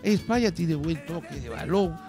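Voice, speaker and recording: A man's voice: on the high side, lively intonation, normal speed, over music, natural voice. A man playing a dejected fan of the French team, complaining in mock-mournful tones. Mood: glum. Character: dejected middle-aged male French football fan.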